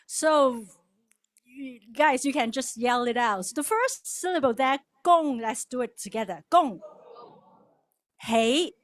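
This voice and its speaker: Woman, chatty and laughy, bit higher voice, gets folks to repeat after her. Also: takes it slowly. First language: Cantonese. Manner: chatty, laughs often, leads the crowd in repeating words. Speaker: guest speaker at a worship service. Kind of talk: casual cultural talk with call-and-response.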